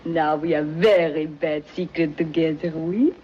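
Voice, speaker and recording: A coquettish French maid. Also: female voice, low voice, fairly even intonation, a little slow, slight background noise. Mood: coaxing. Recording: dull old film soundtrack.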